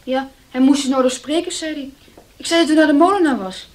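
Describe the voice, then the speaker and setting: A woman playing a quick-chattering young maid. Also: high voice, close to an everyday voice. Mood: eager. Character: young illiterate serving girl, eager. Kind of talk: 1970s radio drama acting.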